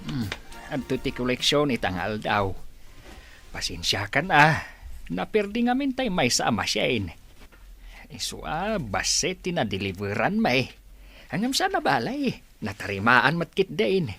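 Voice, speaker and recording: An actor playing a friendly, fussing village worker in an Ilocano radio comedy drama, with an Ilocano accent and exclamatory delivery. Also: male voice, mid-range voice, wildly swooping pitch, a little slow, bright, forceful tone, exaggerated voice. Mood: apologetic, friendly.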